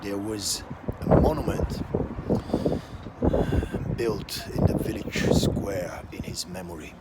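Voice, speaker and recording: A man telling a personal story as a deliberate, dramatic monologue in short emphatic phrases, with a scornful edge. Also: sits low. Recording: loud outdoor traffic-like ambient noise.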